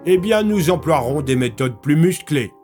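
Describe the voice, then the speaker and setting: A man's voice: fairly high pitch, animated, normal speed, darkish tone, faint music behind, close to an everyday voice. A man playing a refined, scheming military leader in an audio drama, with urbane, courteous and smug manners. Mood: coolly menacing. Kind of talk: French audio fiction acting.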